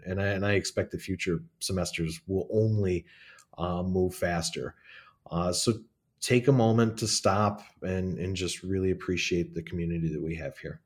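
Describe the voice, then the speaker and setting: Young faculty guy, lowish voice, relaxed and talkative, fillers and chuckles. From northern New York State. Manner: friendly, rambling, with fillers and chuckles. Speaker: law school faculty member, podcast guest. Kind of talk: casual interview answers.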